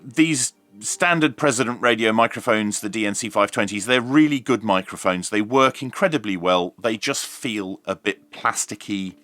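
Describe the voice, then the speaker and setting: A man's voice: fairly low pitch, normal speed, bright, forceful tone, no room sound, faint music behind. A British man presenting an unboxing review as host, with a jokey, tongue-in-cheek manner. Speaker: host of a radio review channel.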